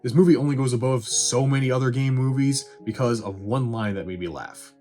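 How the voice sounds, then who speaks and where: A man's voice: medium pitch, a little fast, a little mellow, faint music behind. A man giving a casual, opinionated movie review in a wry, sarcastic, conversational way. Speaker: film reviewer and host.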